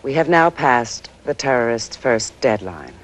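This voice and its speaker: A woman's voice: a bass voice, expressive, a little slow. An actress playing a grave, careful female news co-anchor on live crisis coverage in a 1980s TV drama. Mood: grave, tense. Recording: faintly noisy 1980s TV broadcast.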